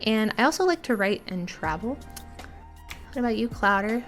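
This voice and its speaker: A woman's voice: on the high side, animated, normal speed, a bit bright, faint music behind. A young woman from the United States hosting a casual streamed interview, chatty and giggly with lots of fillers. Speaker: podcast host.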